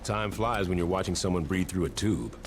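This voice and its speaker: An actor playing a weary, sardonic middle-aged cop in a video game, dry and deadpan, with noisy ambience behind him. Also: male voice, deep voice, a little fast, natural voice. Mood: jaded.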